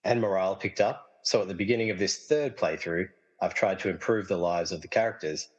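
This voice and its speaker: Man doing easygoing film nerd in audio comedy, low husky voice, lots of reverb. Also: a little fast, muffled recording. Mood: amused, casual. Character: easygoing movie-loving man trapped inside a film. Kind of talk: amateur comedy radio play dialogue. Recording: long reverberant hall echo.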